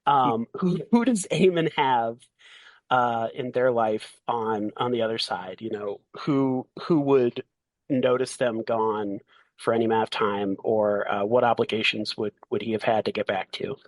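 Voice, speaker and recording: A man runs a tabletop role-playing game in a very dry recording with a middling pitch. He improvises as game master, often circling back to rephrase in a halting way. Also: no echo. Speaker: game master and podcast host.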